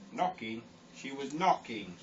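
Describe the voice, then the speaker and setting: A man telling an improvised comic story in a rambling way, giggling at his own jokes. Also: medium pitch. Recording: distant lo-fi home recording with hiss.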